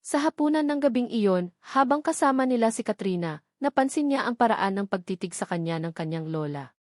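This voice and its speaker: A woman's voice: on the high side, animated, a little fast, a little mellow, no echo, studio quality. A woman with a Filipino accent reading a scripted dramatic story as its narrator.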